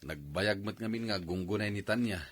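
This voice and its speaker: Actor as a low-voiced, mild neighbour man. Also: moderate pace, fairly bright tone. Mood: mild, neighbourly. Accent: Ilocano. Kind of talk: radio drama dialogue.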